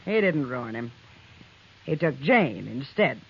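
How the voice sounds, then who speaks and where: A woman's voice: rumbling low, very animated, slow pace, gravelly, breathy edge, full character voice. An actress playing a shrewd, worldly frontier woman with a frank, wry manner in an old radio western. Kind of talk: 1950s radio western acting. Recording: muffled old radio recording.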